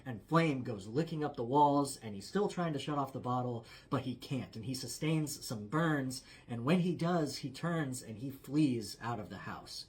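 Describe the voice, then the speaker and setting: A male storyteller speaks in a medium-pitched voice. He narrates a true story as if around a campfire, leaning on key phrases for drama while keeping his wording conversational. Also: lively intonation, normal speed, hardly any pauses. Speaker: storyteller, host.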